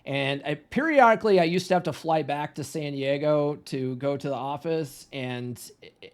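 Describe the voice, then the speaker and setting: Chatty man in his forties, slightly high. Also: darkish tone. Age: 40s. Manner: chatty storyteller, laughs easily, repeats words when starting. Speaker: guest, men's coach. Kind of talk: casual conversation.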